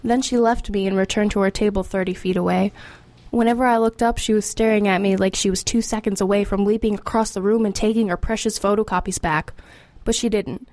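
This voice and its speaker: A woman's voice: mid-range voice, fairly even intonation, brisk, darkish tone, no room sound, lightly acted. An actress playing a streetwise teenage girl in a radio drama, confiding and matter-of-fact as she tells her story. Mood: wry, confiding. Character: streetwise, wry, formerly homeless teenage girl. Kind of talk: first-person narration and dialogue in radio drama.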